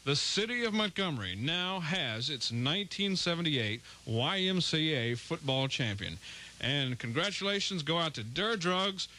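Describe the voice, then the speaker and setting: A man's voice: a little high, very animated, moderate pace, bright, forceful tone. A young man working as a radio DJ, delivering 1970s top-40 patter and announcements in an upbeat, polished announcer style on an old aircheck tape. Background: music.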